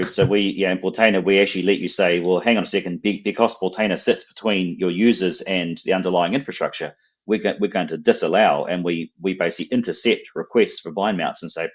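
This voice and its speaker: Deep-voiced man with a Kiwi accent. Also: husky, dull audio. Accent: New Zealand. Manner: chatty, repeats words while thinking. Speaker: guest. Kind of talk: casual technical explanation on a livestream.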